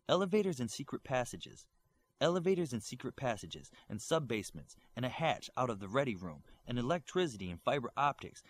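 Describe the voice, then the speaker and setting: Male audiobook narrator, mid-pitched, lively intonation, expressive read. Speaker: audiobook reader.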